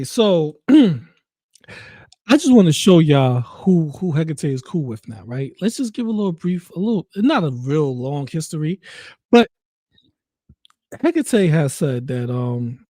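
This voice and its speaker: A man casually commenting on a live stream, pausing and restarting often as he sets up a clip. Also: fairly high pitch, big pitch swings, dark tone, dry recording, clean studio sound. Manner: halting, many restarts and fillers, easygoing.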